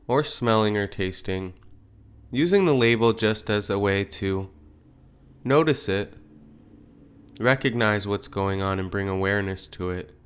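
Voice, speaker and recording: Male meditation teacher, lowish pitch, clean resonant tone, gentle unhurried delivery, guided instruction. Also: clear, resonant tone. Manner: gentle unhurried phrasing with pauses.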